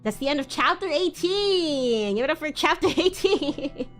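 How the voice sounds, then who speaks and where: A woman streamer doing a live dramatic reading of fan fiction in an expressive, theatrical way. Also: high voice, normal speed, soft background music. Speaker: livestream host.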